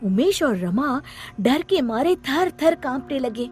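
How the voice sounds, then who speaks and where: High-voiced woman narrating children's stories. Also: slow pace, soft background music. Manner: expressive, dramatic. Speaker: storyteller. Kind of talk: scripted children's story narration.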